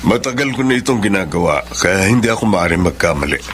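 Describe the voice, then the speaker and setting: A man playing a grave, self-assured doctor in a Tagalog radio melodrama, delivering results in a deliberate, suspenseful way. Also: sits low, expressive, a bit bright. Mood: firm.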